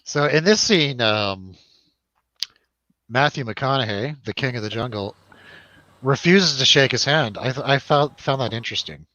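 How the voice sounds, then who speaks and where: A man hosts a loose film chat at an unhurried pace. He jokes, hesitates and lets sentences trail off. The sound is dry and a little dull. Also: mid-range voice, bright, forceful tone, no echo. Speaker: host of a film review show.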